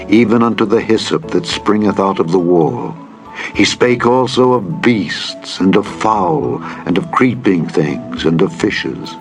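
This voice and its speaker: A man reading scripture as a narrator, in a solemn, measured and deliberate dramatized style. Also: fairly low pitch. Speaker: narrator, Bible reader. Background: background music.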